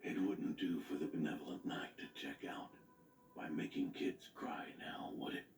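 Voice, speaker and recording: A man playing a gentle, dying, fatherly knight in a video game, speaking tenderly in a weak, breathy whisper. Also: extremely low pitch, roomy sound. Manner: slow, tender, reassuring. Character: gentle, dying male knight, tenderly paternal toward grown children. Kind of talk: dramatic video game acting.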